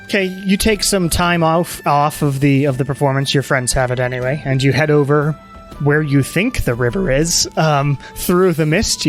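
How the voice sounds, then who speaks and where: Man, game master, slightly high voice, rambling narration, faint music behind. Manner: loose, rambling narration with fillers.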